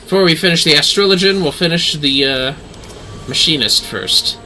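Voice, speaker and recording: A man's voice: normal speed, sharp tone, slight background noise, rough recording. A man giving casual, offhand livestream commentary on a game he is playing, muttering as he goes. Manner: low-key, offhand muttering. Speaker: game streamer.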